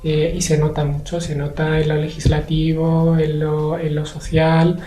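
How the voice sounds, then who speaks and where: A young man from Spain, a guest in a conversational interview, speaking in a measured, hesitant way with many pauses and fillers. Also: a little high, monotone, over music. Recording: echoey room.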